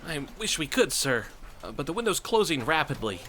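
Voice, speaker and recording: A man's voice: fairly high pitch, sing-song, brisk, sharp tone, slight background noise. An actor playing a nervous, deferential male flight controller, hesitant as he reports to a superior. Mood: anxious. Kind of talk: dramatic audio drama acting.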